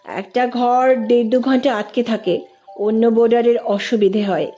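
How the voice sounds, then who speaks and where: A woman's voice: fairly high pitch, steady tone, unhurried, mellow, highs cut off, close to an everyday voice. A woman voicing a guarded, formal young female hostel warden in a story reading. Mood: stiff, formal. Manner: formal, reserved.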